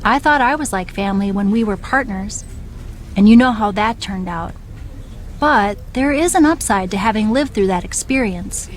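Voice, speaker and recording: An actress playing a scheming veteran female wrestler, talking in a measured, persuasive way. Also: medium pitch, expressive, slight background noise, lightly acted. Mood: knowing, persuasive. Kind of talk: video game cutscene dialogue.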